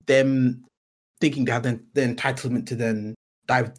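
A man's voice: medium pitch, fairly even intonation, normal speed. A man hosting a podcast in a conversational interview style. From Britain. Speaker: podcast host.

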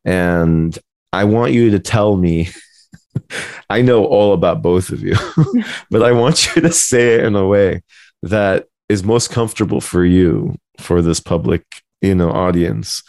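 A man with a gravelly voice chatting casually as a podcast co-host, in a self-deprecating, joking way. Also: low-pitched, animated, unhurried, a little mellow, no room sound, studio quality.

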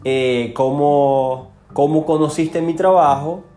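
A man's voice: on the high side, steady tone, slow pace, warm tone. A man with a Venezuelan accent speaking informally and with slang as a tattoo artist who hosts a casual interview.

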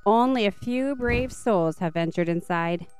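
A woman's voice: medium pitch, measured pace, darkish tone, clear, resonant tone, faint music behind. A woman hosting and reading scripted stories aloud for children. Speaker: podcast host and story reader.

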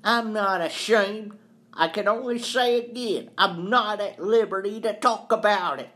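A man's voice: high voice, unhurried, bright, forceful tone, pitched above the performer's own voice. A man voicing an honest, anxious caretaker in a storybook, earnest and defensive under accusation.

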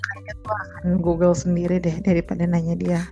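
A woman's voice: on the low side, flat delivery, brisk, creaky, mellow, dull audio, faint music behind. Curious young woman co-host. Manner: curious, asks many questions. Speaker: podcast co-host. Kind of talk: casual chat.

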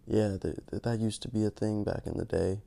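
Gravelly low-voiced man rambling. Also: steady tone, brisk, dark tone. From Canada. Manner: rambling, self-mocking, many restarts. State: just woke up. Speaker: podcast host. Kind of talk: casual rambling monologue.

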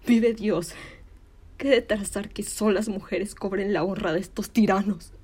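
Woman acting a breathy, wronged young village woman. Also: mid-range voice, fairly even intonation, a little mellow. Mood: furious, defiant. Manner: slow, declamatory, anguished. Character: wronged, defiant young village woman rebuking cowardly men. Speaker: drama student actor. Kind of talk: classical Spanish verse monologue, theatrical delivery.

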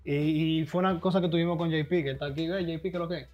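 A man's voice: on the high side, steady tone, rapid-fire. A man from the Dominican Republic with a Dominican Spanish accent, hosting and earnestly making his point in casual conversation. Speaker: podcast host. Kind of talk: casual interview chat. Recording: dull lo-fi sound with faint music.

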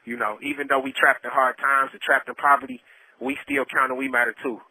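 A man speaks over a telephone with a bright, forward voice at a middling pitch. He tells his own story plainly and colloquially, with an earnest, pleading delivery. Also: little pitch movement, fairly quick, slight background noise. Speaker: storyteller, incarcerated caller. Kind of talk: personal testimony over a phone call. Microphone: phone handset. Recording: telephone line from prison.

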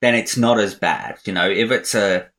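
A man's voice: lowish voice, fairly even intonation, moderate pace, a bit bright. A man co-hosting a podcast, giving rambling, opinionated movie talk with many fillers. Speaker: podcast co-host. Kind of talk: casual movie review chat. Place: podcast recording.

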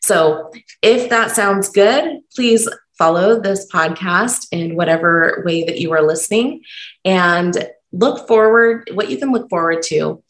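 Croaky-voiced woman hosting a podcast. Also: lowish voice, a little slow, roomy sound. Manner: earnest, encouraging, stumbles over words. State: croaky voice from being sick. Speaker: podcast host. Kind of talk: solo podcast monologue, unscripted.

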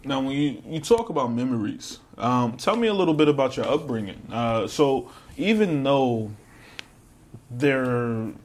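A man hosting a podcast interview, speaking in drawn-out, emphatic phrases. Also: mid-range voice, lively intonation. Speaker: podcast host. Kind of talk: casual interview.

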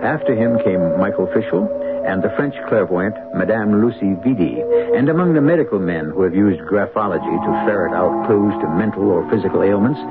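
Male old-time radio drama host; deep-leaning, measured scripted narration, dull band-limited sound. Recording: muffled old radio broadcast with music. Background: loud music.

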